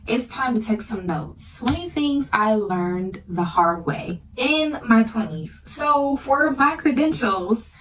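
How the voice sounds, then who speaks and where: A woman's voice: on the high side, animated, slow pace, hardly any pauses, fairly bright tone. A woman in her early twenties giving casual advice in a girly, familiar chit-chat style, like a vlogger talking to her viewers. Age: early 20s. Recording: distant mic with light background noise.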